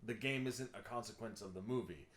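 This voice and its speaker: A man's voice: fairly low pitch, a little fast. A man joining a casual podcast chat, cutting in with jokes and short rulings.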